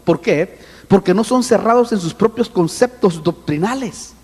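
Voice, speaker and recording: A man preaching a sermon with an animated manner, often calling out to his listeners as brother. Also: high voice, moderate pace, breathy edge, big-room sound. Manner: animated, addresses listeners as brother, acts out voices. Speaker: apostle preaching.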